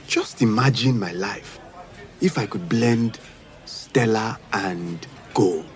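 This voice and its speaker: A man's voice: fairly low pitch, slow. An actor with a Nigerian accent playing a cocky, scheming young womanizer in an audio drama. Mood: dreamy.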